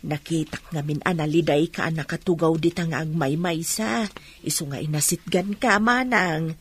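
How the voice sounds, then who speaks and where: An actress playing a concerned, consoling woman neighbor in an Ilocano radio drama, with a husky voice and a Filipino Ilocano accent. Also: low-pitched, moderate pace, slight background noise, lightly acted.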